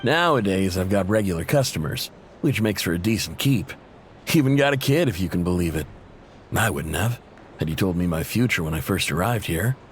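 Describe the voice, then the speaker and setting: A man's voice: sits low, animated, a little fast, slight background noise. An actor playing a friendly, modest workman and family man in a video game, chatty, affable and self-deprecating. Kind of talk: video game character acting. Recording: music behind the voice.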